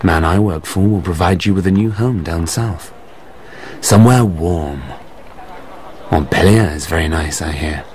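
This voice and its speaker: A polite, quietly relentless gentleman in a radio play. His voice is deep and suave, with faint noise behind it. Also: measured pace. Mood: smooth, insistent.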